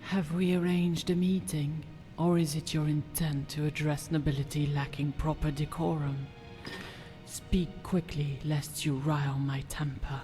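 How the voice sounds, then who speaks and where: A woman's voice: low voice, little pitch movement, a little slow, mellow. An actress playing a haughty, scheming fantasy noblewoman in a video game, with imperious, formal, disdainful diction. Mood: curt, irritated. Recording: music behind the voice.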